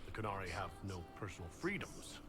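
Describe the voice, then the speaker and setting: An actor playing a composed, courteous leader in a fantasy video game, measured and direct. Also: male voice, sits low, very animated, over loud music. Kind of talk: video game dialogue acting. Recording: echoey, reverberant sound.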